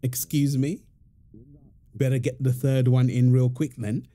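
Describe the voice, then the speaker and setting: A man's voice: mid-range voice, level tone, a little slow, dark tone. A man playing a playful, teasing young husband and doting father in an intimate, affectionate domestic ASMR roleplay. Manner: teasing, affectionate, chuckling banter. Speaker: roleplay audio performer.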